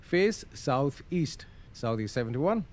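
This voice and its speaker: A man's voice: mid-range voice, big pitch swings, a little slow, a little mellow. A man giving casual live-stream commentary on a flight simulator, muttering through procedures hesitantly with some radio phraseology. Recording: faint background noise.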